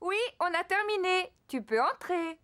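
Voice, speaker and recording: A woman's voice: very high-pitched, expressive, measured pace, a little hiss or hum, exaggerated voice. A woman voicing a kind, reassuring mother pig in a children's cartoon dub. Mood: encouraging. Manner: gentle, encouraging. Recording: music under the voice.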